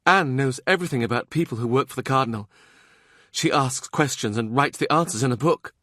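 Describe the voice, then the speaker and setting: Casting: man playing a young noble widow and mother, higher-pitched, aggrieved yet wistful, for a historical novel audiobook. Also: fairly quick, sharp tone, dry recording, clean studio sound, natural voice. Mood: flustered.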